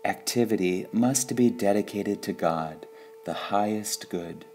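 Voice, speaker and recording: Slow, low-pitched man reading spiritual sayings. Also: darkish tone. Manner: measured, deliberate, with pauses between short phrases. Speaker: reader of spiritual quotations.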